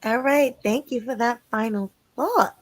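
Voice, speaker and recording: A woman hosting a casual live stream panel, her voice a little hoarse from a sore throat. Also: on the high side, unhurried, crowd noise.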